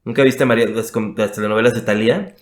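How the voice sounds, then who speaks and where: A man's voice: fairly low pitch, fairly even intonation, quick pace, reverberant. A young Mexican man chatting casually as a podcast guest, self-mocking and full of "o sea" fillers. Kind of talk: casual conversation.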